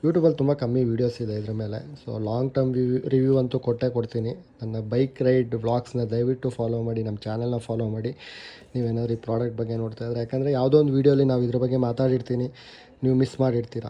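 A man's voice: mid-range voice, level tone, quick pace, muffled recording. A young man with a Kannada accent giving a casual, chatty review of a helmet intercom, mixing in English words as he goes. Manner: chatty, mixes English words into his talk, self-corrects. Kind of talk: casual product review and unboxing.

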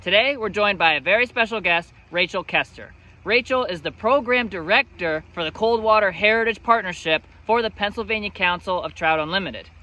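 A man in his thirties stands outdoors by a stream and gives a formal, announcer-like introduction. His voice is high and bright, with a forceful edge. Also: brisk. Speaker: host for a state wildlife agency. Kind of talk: scripted on-camera introduction.

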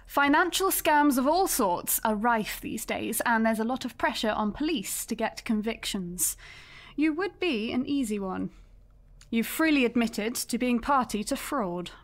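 A brisk woman detective sergeant in an audio drama. Her voice sits a little high. She is measured, firm and matter-of-fact, like someone setting out a case. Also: lively intonation. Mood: probing, firm. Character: brisk, businesslike female police detective sergeant.

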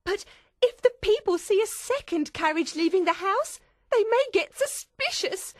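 Very high-pitched anxious fussy Englishwoman. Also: lively intonation, no echo, clean studio sound. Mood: worried, tearful. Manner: nervous, pleading, quick. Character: anxious, tearful, protective Englishwoman, fussy and polite.

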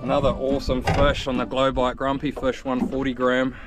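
Excited New Zealand man fishing from a boat. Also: mid-range voice, a little fast. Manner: excited, upbeat, full of slang. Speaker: fishing vlogger. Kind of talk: casual on-the-spot commentary. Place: outdoors on a small open boat at sea. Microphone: head-mounted action camera. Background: loud background music.

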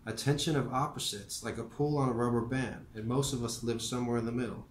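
A man voicing a frail, dying old male professor who speaks gently and calmly in an unhurried way. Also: medium pitch, slight background noise, close to an everyday voice. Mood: teaching. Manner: gentle, unhurried, softly earnest. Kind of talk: audiobook character voice. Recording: roomy echo with faint ambience.

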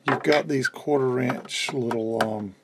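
Creaky-voiced man talking through a repair. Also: medium pitch, a little slow, noisy background. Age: 50s. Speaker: electronics restorer and presenter. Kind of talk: casual hands-on repair demonstration, explaining as they work. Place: indoors at an electronics workbench.

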